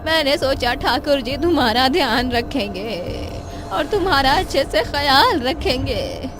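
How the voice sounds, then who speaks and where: A high, slow-spoken old mother, as a woman acts her out, frightened and full of remorse, her pleas trembling and broken. She is the grieving parent of a spooky cartoon tale. Music plays behind her. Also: expressive. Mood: remorseful. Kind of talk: animated horror story voice acting.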